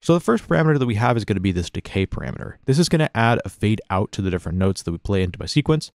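A man narrating a software tutorial as an instructor, in a casual, conversational, explanatory manner, close to the mic. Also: lowish voice, sing-song, very fast, gravelly. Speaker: music production tutorial instructor.

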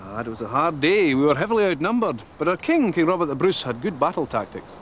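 An actor playing the earnest ghost of a medieval soldier in an educational television re-enactment. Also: male voice, a little high, very animated, quick pace, a little hiss or hum. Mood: earnest, matter-of-fact.

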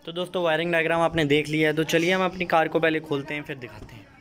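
A young man talks viewers through a car wiring job in a friendly, matter-of-fact way, his voice sitting a little high. He is recorded on a handheld phone outdoors beside the car. Faint ambient noise runs underneath. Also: very fast. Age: young adult. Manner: chatty step-by-step explaining, addressing viewers as friends. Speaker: DIY car modification vlogger. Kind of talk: casual how-to explanation. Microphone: handheld phone camera.

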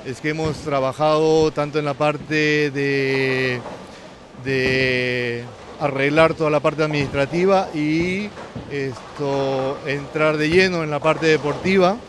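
Middle-aged Peruvian official, noisy hall. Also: male voice, a little high, steady tone, slow pace. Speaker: sports federation official. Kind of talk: on-camera interview. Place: large indoor bowling alley. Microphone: handheld interview microphone. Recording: noisy bowling alley background.

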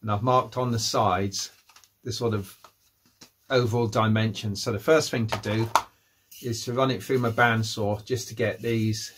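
A man in his fifties presenting a casual hands-on how-to vlog, informal and low-key, thinking aloud as he works. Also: fairly even intonation, unhurried, a bit bright. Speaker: hobbyist presenter. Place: at home, indoors and in a workshop. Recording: noisy home-made recording with clicks.

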